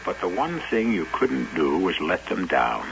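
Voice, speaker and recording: Gravelly-voiced man narrating a radio mystery. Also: low voice, sing-song, background noise. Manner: measured, wry storyteller. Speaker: host narrator. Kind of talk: radio drama host narration. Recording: dull old radio broadcast with music.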